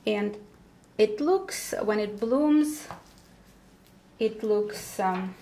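Female vlogger for casual unboxing narration: unhurried, hesitant phrasing, faint background noise with crinkling paper. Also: medium pitch, expressive, warm tone. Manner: halting, pausing between short phrases. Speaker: vlogger presenting her plant unboxing. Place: indoors at a table. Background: crumpling paper packaging.

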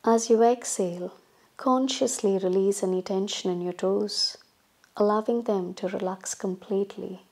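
A woman yoga instructor leading a guided relaxation meditation in a calm, soothing, instructional manner, leaving long pauses between phrases. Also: medium pitch, slightly rough.

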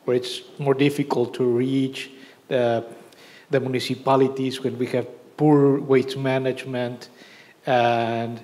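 Man, mellow mid voice, careful audience question. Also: medium pitch, little pitch movement. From Mexico. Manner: careful, slightly halting non-native phrasing. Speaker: audience member asking a question. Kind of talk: question from the floor. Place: large wood-paneled lecture hall.

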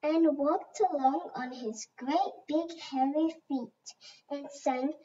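Woman playing patient father zebra, gentle bedtime storytelling, high voice, very slow, muffled sound. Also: recorded from a distance, slight background noise. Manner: gentle, unhurried. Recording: dull lo-fi home recording.

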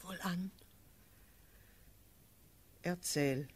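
A mature noblewoman, stricken yet commanding, in a classical radio drama. She speaks extremely slowly in a husky, breathy voice. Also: fairly low pitch, heavily acted. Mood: braced, commanding. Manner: very slow, stricken declamation. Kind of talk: classical German radio play verse acting.

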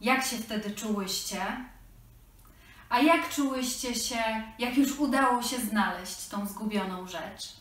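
A woman's voice: fairly high pitch, slow pace, fairly bright tone. A middle-aged woman presenting to children in a storytelling, teacherly style. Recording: roomy echo, slightly distant mic.

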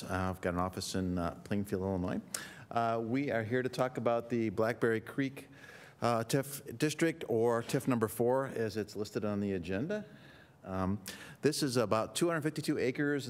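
A man, a consultant, presenting a redevelopment plan to a village board, explaining steadily with frequent fillers. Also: medium pitch. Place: council chamber.

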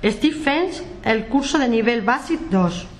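Woman from Ciudad Real, high voice, slow hesitant student reading. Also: animated, a little hiss or hum. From Ciudad Real, Spain. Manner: hesitant, with fillers and restarts. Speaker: Catalan course student. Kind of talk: reading a short self-introduction.

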